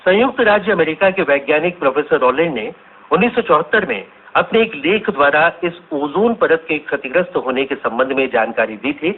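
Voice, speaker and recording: Radio drama report: formal Indian science correspondent, raspy higher voice, explanatory read. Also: male voice, lively intonation, a little fast. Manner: formal, expository. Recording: muffled, phone-like radio broadcast sound.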